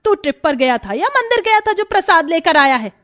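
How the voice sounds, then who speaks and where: A woman's voice: very high voice, big pitch swings, brisk, a bit bright, pitched above the performer's own voice, full character voice. A woman voicing a domineering, scornful older mother-in-law in a dramatised moral story, scolding and taunting as she gives orders. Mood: angry, scornful.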